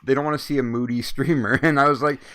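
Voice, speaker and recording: A man's voice: medium pitch, fairly even intonation, quick pace, a bit bright. A man hosting a casual interview who rambles, swears freely and laughs often. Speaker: interview host.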